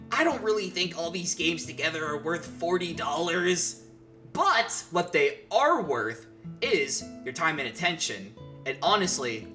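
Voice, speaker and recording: A man giving a casual, opinionated video essay narration on games, with some swearing. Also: on the high side. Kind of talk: casual game review narration.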